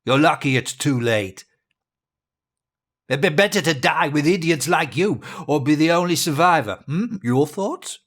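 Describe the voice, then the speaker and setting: An actor playing a dying, deranged dictator, cruel, grandiose and childish, in a theatrical audio drama monologue. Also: male voice, on the high side, animated. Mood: raging, mocking. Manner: theatrical, volatile, slow and deliberate, swinging from hush to bellow.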